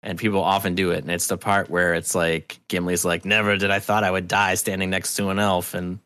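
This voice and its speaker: A man talking casually as a podcast guest, telling anecdotes in an informal, halting way. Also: deep voice, level tone, bright, forceful tone, no echo. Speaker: podcast guest, audio drama creator. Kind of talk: casual conversational storytelling.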